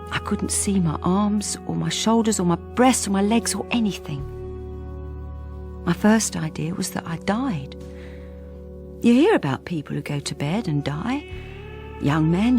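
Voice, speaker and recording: An actress playing a troubled middle-aged British wife who speaks hesitantly and confidingly in a radio drama. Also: female voice, medium pitch. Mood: haunted, confiding. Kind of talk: intimate first-person radio drama narration and dialogue.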